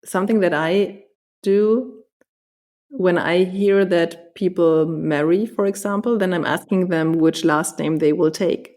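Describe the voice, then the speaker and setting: Low-voiced woman co-host, measured and thoughtful. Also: a little mellow. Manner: measured, thoughtful, light laughs. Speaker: podcast co-host. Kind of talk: casual conversation.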